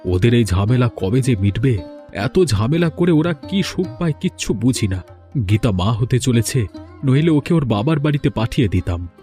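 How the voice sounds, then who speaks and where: A man voicing a grumbling village man in a cartoon fairy tale, speaking in deliberate dialogue. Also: mid-range voice, expressive, warm tone, soft background music, close to an everyday voice. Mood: weary, resigned. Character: male village thief and weary elder brother, grumbling.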